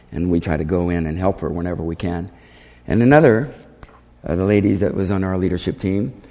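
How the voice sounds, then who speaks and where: A man speaking as a missionary, giving a storytelling testimony to a congregation in an animated way, acting out dialogue. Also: low-pitched. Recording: reverberant hall.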